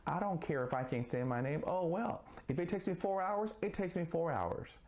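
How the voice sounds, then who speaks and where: A man who stutters, speaking slowly and deliberately with long pauses in a personal monologue. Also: a little high, expressive, a little mellow. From Texas. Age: 40s. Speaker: vlogger. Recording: faint guitar music behind.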